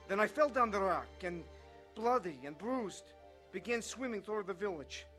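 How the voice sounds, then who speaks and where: A man's voice: high-pitched, fairly bright tone. An actor playing an earnest, haunted young Russian defector who tells his story in a dramatized testimony. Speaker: first-person narrator of a dramatized testimony. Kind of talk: dramatized scripted narration.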